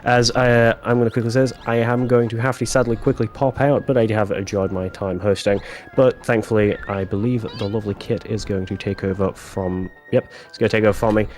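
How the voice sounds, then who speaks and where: A man's voice: lowish voice, steady tone, brisk, a little mellow, faint music behind. A man casually hosting a gaming marathon stream, polite and a little hesitant as he reads out notices. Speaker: marathon host.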